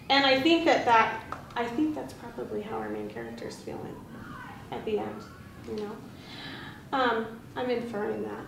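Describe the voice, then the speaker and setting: A woman practicing classroom teaching, asking questions and echoing back answers in an encouraging way. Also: on the high side. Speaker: teacher rehearsing a read-aloud lesson with colleagues. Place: indoor room with group, distant mic. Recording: echoey room, distant mic, faint noise.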